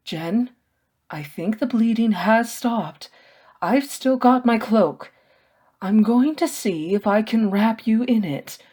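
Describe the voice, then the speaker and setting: A woman reader plays a gentle male scholar in a storybook. He speaks slowly and carefully, hushed and earnest, full of quiet remorse. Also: a little high, husky, voice pushed up. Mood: concerned.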